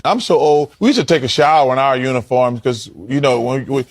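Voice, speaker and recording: A man's voice: mid-range voice, a little fast, dry recording, faint music behind. A middle-aged man, a former player, telling an anecdote in bantering studio talk. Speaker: former pro basketball player.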